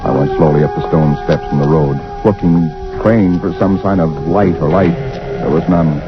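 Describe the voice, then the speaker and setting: Actor as a doomed narrator, very deep voice, slow dread-filled confession, lo-fi old radio sound, loud music behind. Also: male voice, lightly acted. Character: haunted man awaiting his doom alone. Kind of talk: 1940s radio drama acting.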